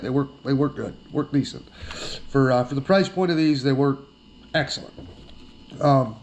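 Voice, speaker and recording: A man's voice: medium pitch, a little hiss or hum. A middle-aged man giving a casual, plainspoken product demonstration as an outdoor gear reviewer, often repeating himself for emphasis.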